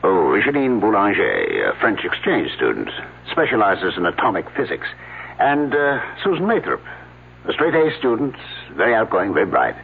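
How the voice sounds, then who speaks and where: A dithering male professor who stumbles over his words. His lowish voice swings up and down as he talks. It comes through a thin, lightly hissing old radio broadcast. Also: huge pitch swings, normal speed, vocal fry, narrow, tinny audio, full character voice. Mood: fussy. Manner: hesitant, full of uh's. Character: fussy hesitant male archaeology professor. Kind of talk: 1970s radio drama acting.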